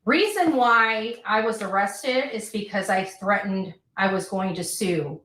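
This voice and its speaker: A woman speaks off the cuff at a slow pace, breaking her phrases up deliberately and haltingly. The recording is roomy and echoing, with her voice some distance from the mic. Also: medium pitch, a bit bright. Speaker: online livestreamer. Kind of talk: unscripted monologue. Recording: echoey room, distant mic.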